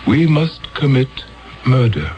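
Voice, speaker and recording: Radio drama: a grave alien elder, slow and lively in pitch. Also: male voice, muffled recording, faint music behind. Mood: solemn. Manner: solemn, measured. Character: grave male elder of a peaceful alien world.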